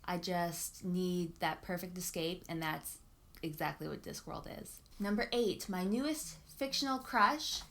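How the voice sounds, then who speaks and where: Unhurried woman chatting about favourites. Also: lowish voice, gravelly, a bit bright. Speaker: book vlogger. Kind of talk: casual chat to camera.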